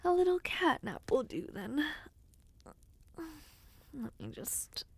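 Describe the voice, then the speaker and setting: A woman playing an earnest, affectionate young noblewoman and would-be knight in an intimate audio roleplay, speaking with gently formal, sincere phrasing. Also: high-pitched, wildly swooping pitch, takes it slowly, creaky, husky, dry recording, exaggerated voice. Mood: sleepy, teasing. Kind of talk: audio roleplay, intimate one-sided dialogue to listener.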